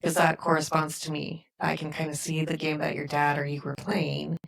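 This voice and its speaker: Gravelly middle-aged woman hosting. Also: low-pitched, completely flat delivery, moderate pace. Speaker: podcast host. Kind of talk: casual chat.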